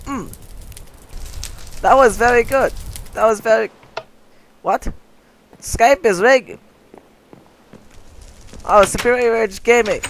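Male performer voicing a zany, squeaky-voiced cooking host; rambles, trips over words. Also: fairly bright tone, a little hiss or hum, full character voice, low-quality recording. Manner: rambling, silly, self-interrupting. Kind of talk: comedic game-video voice acting.

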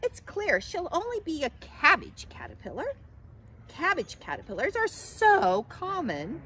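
A woman's voice: fairly high pitch, wildly swooping pitch, moderate pace, fairly bright tone, husky, full character voice. A woman voicing a scornful, conceited female caterpillar in a storybook, sneering and complaining. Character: scornful, conceited young caterpillar who whines and mocks.

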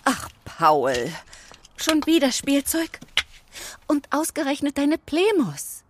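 Children's audio drama mother: exasperated, easily startled, high, swooping delivery. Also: slow, slight background noise, full character voice. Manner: exasperated, expressive. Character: Paul's mother, a fussy, easily startled mum.

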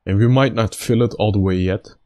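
Low voiced gamer guy, bit raspy and stuffed up, just chatting thru his game as he thinks. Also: fairly quick, warm tone. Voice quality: slightly raspy. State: stuffy nose after being sick. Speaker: gaming video host. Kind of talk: casual gameplay commentary.

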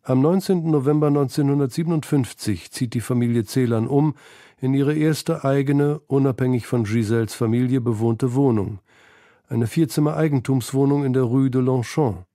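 Man, literary documentary narration, soft-edged warm tone, close dry sound. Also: mid-range voice, moderate pace, no echo. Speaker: feature narrator.

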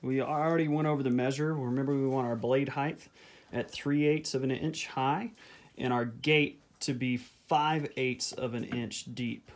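A man's voice: mid-range voice, fairly even intonation, a little slow. Casual man explaining saw cuts. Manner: plain, repeats measurements, ends steps with "okay?". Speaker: beekeeping video host. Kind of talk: step-by-step woodworking instruction. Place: home workshop at a table saw.

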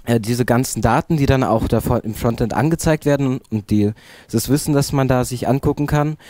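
A teenage boy explains the frontend with fillers and restarts. His tone is mellow and mid-pitched. The sound is dry and close on a handheld mic. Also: fairly quick, no room sound. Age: teens. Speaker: student presenter.

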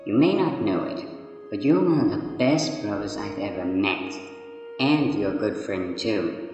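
A kindly, regretful flower creature played by a man from a comic dub. The voice sits a bit low and is earnest and reassuring, ringing with heavy echo over music. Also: expressive, a little slow, darkish tone, muffled recording. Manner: hesitant, earnest. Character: gentle, remorseful talking flower, earnest and reassuring. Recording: cavernous reverb over background music.

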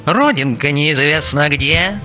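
A man playing a scheming, power-hungry villain in an animated cartoon, sly, mocking and drawling. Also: fairly high pitch, takes it slowly, faint music behind, exaggerated voice. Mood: gloating.

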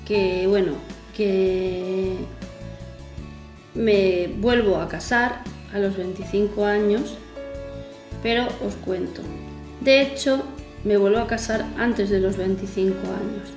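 A woman, chatting casually to camera in a rambling way with self-mocking asides. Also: mid-range voice. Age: 40s. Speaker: vlogger. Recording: echoey room with faint music.

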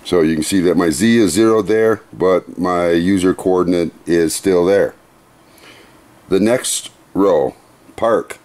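Middle-aged male demonstrator, workshop, low pitch, slow methodical plain delivery. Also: darkish tone, a little hiss or hum. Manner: unhurried, methodical, plain-spoken with small restarts. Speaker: CNC machine demonstrator. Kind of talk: hands-on equipment demonstration. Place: workshop beside a CNC router.

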